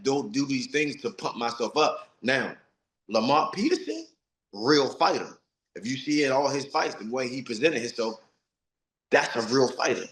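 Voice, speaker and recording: A man's voice: mid-range voice, a bit bright, reverberant, poor audio. A man of forty, a retired boxer, giving blunt, streetwise interview answers and repeating himself for emphasis. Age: 40s.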